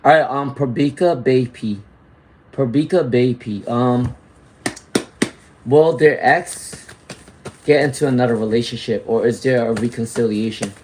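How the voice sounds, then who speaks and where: Tarot reader checking in with "all right?". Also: male voice, medium pitch, unhurried, pauses often, a little mellow, slight background noise, poor audio. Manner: reads out viewer comments and greets chatters. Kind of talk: live-streamed tarot reading.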